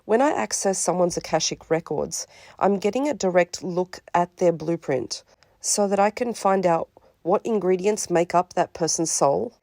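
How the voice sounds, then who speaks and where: Woman, a bit sniffly, calm counsellor explaining her service, low mellow voice, dry close recording. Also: normal speed, no room sound. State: a little sniffly from a cold. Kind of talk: scripted promotional talk.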